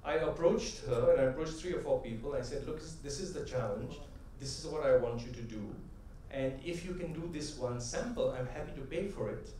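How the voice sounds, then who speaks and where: A man's voice: mid-range voice, brisk, few pauses, dark tone, slight background noise. A middle-aged man giving a conversational conference talk full of anecdotes, often tagging his points with "right?" and building to rhythmic, emphatic punchlines. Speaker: convention speaker. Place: large convention hall. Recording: echoey hall, voice from a distant mic.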